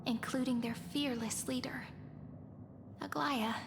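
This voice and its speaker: A woman performing scripted game narration as a solemn, measured chronicler of a fantasy war. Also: high-pitched, steady tone, gravelly, background noise. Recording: reverberant game audio under music.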